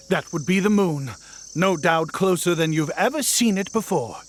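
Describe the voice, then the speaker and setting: An actor playing an excitable, earnest, fussy astronomer in a video game, with theatrical, flowery and precise speech. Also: male voice, sits high, measured pace. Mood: intrigued, puzzled. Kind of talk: dramatic video game voice acting.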